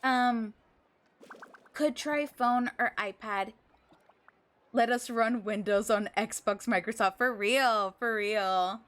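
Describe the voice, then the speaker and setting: A woman's voice: on the high side, takes it slowly, pauses often, a bit bright. A woman livestreamer chatting casually with her viewers, thankful and gushing, using girlish slang. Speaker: video game livestreamer. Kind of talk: casual chat with stream viewers. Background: game music.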